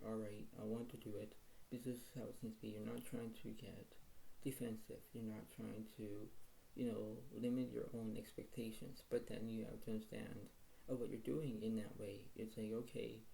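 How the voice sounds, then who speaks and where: A man with a low, quiet voice, rambling through a solo tarot reading and repeating filler phrases as he lists cards in quick bursts. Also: gravelly, slight background noise, rough recording. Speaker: tarot reader.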